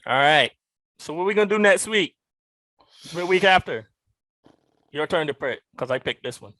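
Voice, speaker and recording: A man's voice: a little high, lively intonation, normal speed, sharp tone, no echo, low-quality recording. A man from Barbados co-hosting a podcast, chatting loosely and swearing freely. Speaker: podcast co-host. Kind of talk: casual chat.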